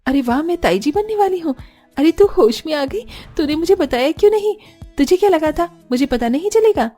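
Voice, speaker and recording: A bossy elder sister-in-law. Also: female voice, high voice, expressive, brisk, mellow, faint music behind. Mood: delighted. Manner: bossy, brusque. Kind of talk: cartoon voice acting.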